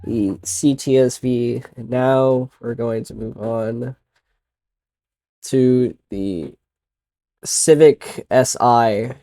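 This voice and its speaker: A man's voice: medium pitch, steady tone, drawn-out words, dark tone. Stuffy-nosed man commenting on gameplay. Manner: rambling and easygoing, with self-corrections and sudden exclamations while driving. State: stuffy-nosed from a sinus infection. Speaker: sim racing video creator.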